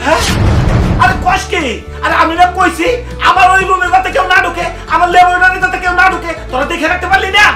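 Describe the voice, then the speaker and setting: A man with a Bangladeshi accent playing a boastful, eccentric scientist uncle in a comic cartoon, excitedly repeating his words. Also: extremely high pitch, fast. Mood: alarmed. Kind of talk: comic children's cartoon acting. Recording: echoey, distant voice with faint music.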